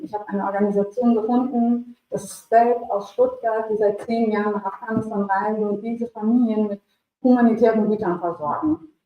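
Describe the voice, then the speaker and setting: A woman founder confidently explaining her project on a panel. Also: mid-range voice. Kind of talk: panel answer. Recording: dull, echoey room, distant mic.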